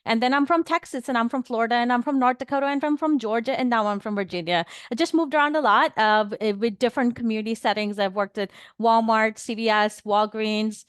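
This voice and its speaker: Chatty woman with a smooth, clear voice. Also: on the high side, quick pace, few pauses, no room sound, studio quality. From New York. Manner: chatty, energetic, many fillers and restarts. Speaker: board candidate, pharmacist. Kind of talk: online meeting speech. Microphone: video call.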